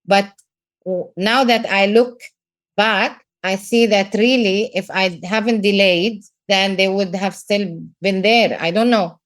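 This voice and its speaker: A woman's voice: mid-range voice, steady tone, takes it slowly. A middle-aged woman from Lebanon with a Lebanese accent, a business coach talking earnestly in a podcast interview and repeating words for emphasis.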